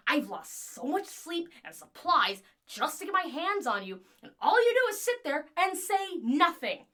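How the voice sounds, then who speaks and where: A high-pitched, unhinged lonely scientist. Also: female voice, sharp tone, exaggerated voice. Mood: desperate anger. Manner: theatrical, chatty, intense, volatile. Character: obsessive, lonely, unhinged female scientist tinkerer. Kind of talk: audio drama monologue.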